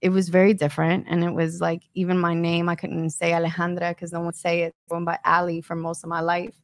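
Low-pitched young American woman, casual storyteller. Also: monotone, fairly quick, no echo. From born in Ecuador, raised in North Carolina from age four. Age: young adult. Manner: informal, frequent "like". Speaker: podcast guest, painter and storyteller. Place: small podcast studio. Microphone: close studio microphone on boom arm.

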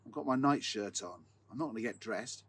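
A man's voice: lowish voice, brisk, husky. A man voicing a grumpy, lame old male housemate who grumbles and protests, as part of a told folk tale.

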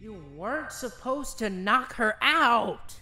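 Earnest male agent for pony audio drama, played by a man. Courteous, formal, very lively intonation over clear music. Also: very high voice, takes it slowly, heavily acted. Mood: exasperated.

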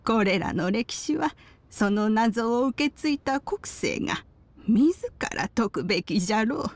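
A woman's voice: fairly high pitch, lively intonation, a little slow, dry recording. An actress playing a wise, kindly old grandmother in a video game, with archaic phrasing and a nostalgic air. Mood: fond, nostalgic. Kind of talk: video game character dialogue. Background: background music.

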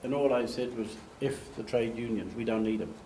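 An older man tells stories in lively fashion from a lectern, recorded by a camera across an echoey meeting room. His voice sits at an average pitch. A faint room ambience hangs behind him. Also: fairly quick, a little hiss or hum. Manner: animated, gestures, acts out quoted voices. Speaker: speaker giving a slide talk. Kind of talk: storytelling presentation. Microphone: far camera.

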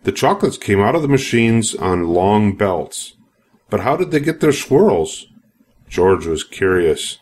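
An older man narrates a children's storybook in a fairly low voice. He reads expressively for young listeners, in a scripted read-aloud style. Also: big pitch swings, measured pace, a little mellow.

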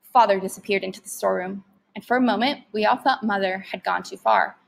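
A woman's voice: mid-range voice, brisk, creaky, a little hiss or hum, low-quality recording. A woman reading a story aloud expressively, like a teacher narrating to students.

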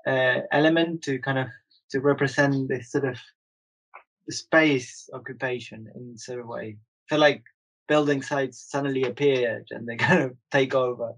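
A man's voice: medium pitch, fairly even intonation, normal speed, a bit bright, poor audio. A man in his thirties from Argentina, an artist talking casually and hesitantly in an interview, with many fillers and restarts.

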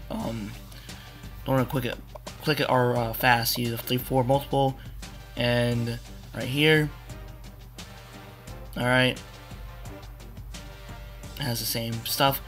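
A young man with a hoarse, cracking voice, rambling casually through a video game walkthrough with many fillers. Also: mid-range voice, sharp tone. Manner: rambling, repeats "you wanna" with fillers. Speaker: gaming tutorial maker. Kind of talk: casual screen-capture walkthrough.

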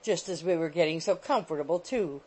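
A woman voicing a prim, fussy gentleman in a storybook, complaining in an aggrieved, plaintive way. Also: on the low side, brisk, natural voice.

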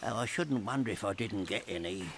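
An actor playing a gloomy, lanky marsh creature, a solemn pessimist grumbling in a rustic manner for an audio drama. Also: male voice, fairly low pitch, a little fast, creaky, slight background noise. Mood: gloomy, resigned.

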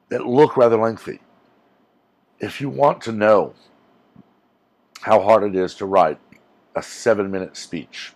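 Deep-voiced man ranting earnestly to camera. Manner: earnest, rambling, emphatic. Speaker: YouTuber. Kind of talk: casual rant to camera. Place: small room with green backdrop, indoors. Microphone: lapel mic.

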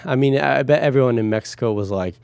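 A man in his thirties from Guatemala, a music producer reacting on a casual vlog from his home studio, talking into a close boom mic with effusive enthusiasm. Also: fast, creaky, very noisy. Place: small home studio room. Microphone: headphones, close mic on boom arm.

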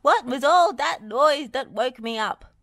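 A woman's voice: mid-range voice, lively intonation, normal speed, no echo, studio quality, lightly acted. A woman voicing a poorly, fretful man builder with a heavy cold in a children's story, congested and wheezy. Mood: worried.

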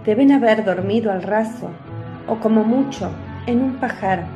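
A woman's voice: fairly high pitch, normal speed, music in the background. A woman reading scripted narration for a biblical radio drama.

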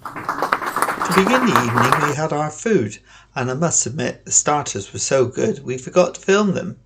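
A man's voice: fairly high pitch, expressive, normal speed, a bit bright, slight background noise, poor audio. An older British man narrating his travel vlog in a plain, chatty way. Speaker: vlog host. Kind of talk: scripted narration.

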